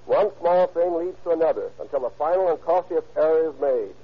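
A man's voice: on the high side, brisk, breathy edge. A police captain, a man, giving a stiff, formal, moralizing scripted introduction on 1930s radio. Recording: muffled old radio recording.

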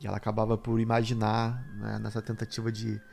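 A man's voice: on the low side, completely flat delivery, quick pace, gravelly, a little mellow. A man with a Brazilian accent, hosting a podcast with informal commentary addressed straight to his listeners. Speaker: podcast host.

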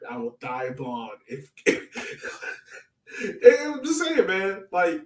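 Man, slightly high voice, casual sports podcast co-host, recorded in an echoey room. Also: huge pitch swings, extremely slow, a bit bright. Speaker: co-host of a soccer fan podcast. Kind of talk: casual sports chat, match breakdown. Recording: echoey room, far from mic.

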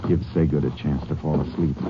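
An actor playing a stern, shrewd Texas Ranger in an American radio drama, speaking in terse, authoritative phrases. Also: male voice, very deep voice, steady tone, brisk, very noisy. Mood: calm, deliberate. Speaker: lead actor and narrator. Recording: muffled early-1950s radio recording with music.

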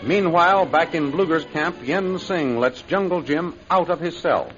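A man's voice: fairly high pitch, a little slow. A man narrating an old-time radio adventure serial in a dramatic scripted style. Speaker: announcer-narrator. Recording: dull old radio recording with music.

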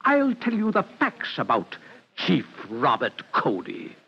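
An actor playing a pompous, easily offended restaurant proprietor in grandiose, formal old-time radio style. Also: male voice, on the high side, huge pitch swings, slow pace, creaky, husky, heavily acted. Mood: indignant, blustering. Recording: dull vintage radio recording.

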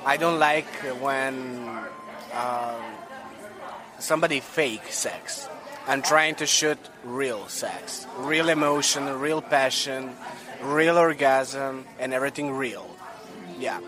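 A man's voice: medium pitch, takes it slowly, over music. A young man with a Serbian accent, speaking English carefully in a casual interview over lunch in a hotel restaurant. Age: young adult. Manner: careful, searching for words. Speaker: guest.

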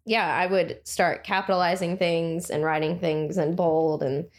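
A young woman with a quiet voice, talking hesitantly with many fillers. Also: on the low side, flat delivery, a little slow. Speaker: guest. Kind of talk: casual conversation.

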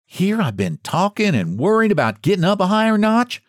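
A man's voice: high-pitched, very animated, moderate pace, dry recording, clean studio sound, pitched above the performer's own voice. A man voicing a poor, remorseful old countrywoman who speaks in a rustic country dialect.

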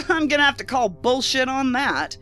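An actress playing a skeptical, sharp-tongued federal agent in a science fiction audio drama, blunt and challenging with a sardonic edge. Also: female voice, sits high, sing-song, moderate pace. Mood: sardonic, confrontational. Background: music under the voice.

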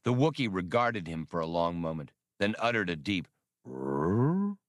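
A man's voice: low voice, brisk, fairly bright tone, no echo, clean studio sound. A man reading adventure fiction as a scripted, dramatic audiobook narration. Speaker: audiobook reader.

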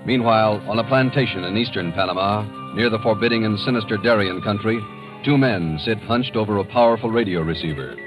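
A man's voice: on the low side, fairly quick, low-quality recording. An American man announcing and narrating in an emphatic, portentous 1940s radio style. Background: dramatic music.

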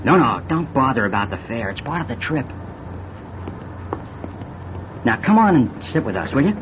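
A man's voice: sing-song, quick pace, lightly acted. A man playing a brash, friendly young race car driver with breezy, slangy talk in a classic radio drama. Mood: helpful. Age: young adult. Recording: noisy muffled old radio recording. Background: bus engine rumble.

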